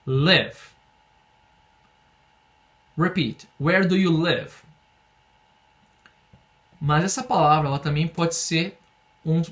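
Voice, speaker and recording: Brazilian man, English teacher, young adult, patient drilling style, slightly higher voice. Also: a bit bright. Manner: patient, explains slowly, drills phrases for repetition. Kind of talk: online language lesson.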